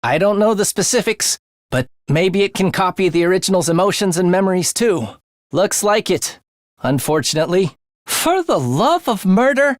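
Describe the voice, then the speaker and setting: A man's voice: sits high, no echo, clean studio sound. A man voicing a morbid, bloodthirsty young male student scientist in an English video game, speaking theatrically with a sinister relish for the macabre. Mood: cool, analytical, then exasperated.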